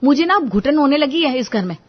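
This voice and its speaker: Casting: woman as fed-up young bride, complaining, pitched up, dull lo-fi drama audio. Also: lively intonation, brisk. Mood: exasperated. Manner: complaining, exasperated. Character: frustrated young daughter-in-law complaining to her husband. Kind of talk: Hindi moral-story audio drama.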